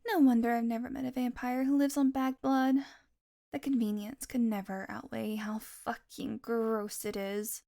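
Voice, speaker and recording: A sardonic vampire woman, acted by a female performer speaks in a fairly high voice. She is haughty and teasing, with exasperated sarcasm aimed straight at the listener, in the manner of an audio roleplay. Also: level tone, moderate pace, gravelly, dark tone. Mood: disgusted.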